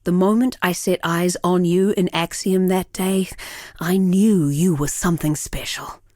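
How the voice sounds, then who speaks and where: An actress playing a proud, sardonic villainess and world-weary killer in a video game, speaking in a theatrical, measured way. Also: female voice, fairly low pitch, fairly even intonation, warm tone, no room sound, studio quality, close to an everyday voice. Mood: brooding, sardonic. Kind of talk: dramatic scripted game dialogue.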